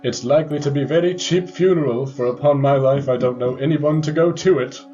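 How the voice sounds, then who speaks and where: A man's voice: medium pitch, fairly quick, warm tone, highs cut off, natural voice. A man acting a callous, jovial businessman in a radio drama, speaking flippantly and breezily. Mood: flippant amusement. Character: callous, jovial businessman gossiping about a death. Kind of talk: old-fashioned theatrical radio drama acting.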